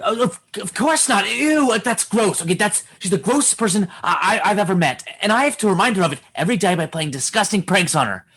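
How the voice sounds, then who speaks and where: A woman's voice: medium pitch, animated, fairly quick, hardly any pauses, a bit bright, slightly rough. A woman playing a cocky, mischievous know-it-all child in comedy radio theatre, insistent and blustering. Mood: flustered disgust.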